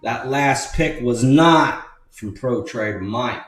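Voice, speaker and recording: A man with a mid-pitched voice talks slowly through a trading walkthrough, repeating points for emphasis in an informal way. He sounds set back from the microphone in an echoey room. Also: fairly bright tone. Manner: emphatic, repetitive, addressing viewers as folks. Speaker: stock trading educator and newsletter writer. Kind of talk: informal stock chart walkthrough. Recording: roomy echo, distant mic, faint music.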